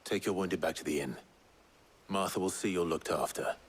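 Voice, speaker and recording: A man's voice: low voice, moderate pace, slight background noise, lightly acted. A man playing a stoic, dutiful young nobleman warrior in a video game, with a terse, commanding manner. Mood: stern, questioning. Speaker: lead character in a video game. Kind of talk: dramatic cutscene acting. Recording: music behind the voice.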